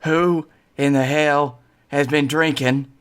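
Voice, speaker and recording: Man putting on an indignant wrestler dad, slow and emphatic. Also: on the high side, steady tone, fairly bright tone, smooth. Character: indignant adult male wrestler dad, drawling, in comic reading.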